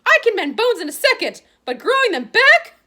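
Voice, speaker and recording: A woman voicing a stern, quick-tempered school nurse who scolds in a raised, shrill voice. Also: very animated, pushed and bright, heavily acted. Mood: scolding.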